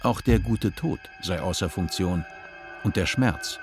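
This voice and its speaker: A man narrating a radio play in a measured, sober way. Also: low voice, over music.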